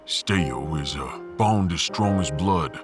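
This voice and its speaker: Man doing a very deep, earnest workman. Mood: remorseful, earnest. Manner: halting, earnest, plain-spoken. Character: big, remorseful, rough-hewn Australian refugee handyman, former miner. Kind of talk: sci-fi audiobook character acting. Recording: music behind the voice.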